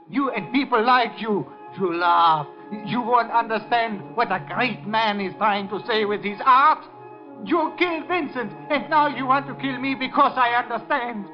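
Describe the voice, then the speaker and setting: An actor playing a timid, obsessive gallery worker with a halting, fervent manner in an old radio drama. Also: male voice, very high voice, animated. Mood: frantic, accusing. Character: timid, obsessive male gallery worker turning unhinged and vengeful. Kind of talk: 1950s American radio drama acting. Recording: muffled 1950 radio broadcast, faint music.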